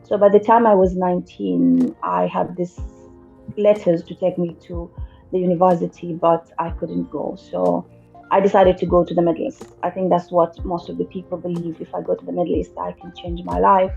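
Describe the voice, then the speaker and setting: A woman from Kenya, a teacher and mindset coach, calmly telling her life story as a guest. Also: lowish voice, normal speed, gravelly, lo-fi sound, faint music behind.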